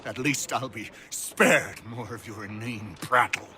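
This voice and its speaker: A man's voice: medium pitch, unhurried, bright, forceful tone, a little hiss or hum. An actor playing a bitter, sardonic man in a video game, with a dry, theatrical, articulate delivery. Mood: scornful. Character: sardonic, bitter male captive turned informant.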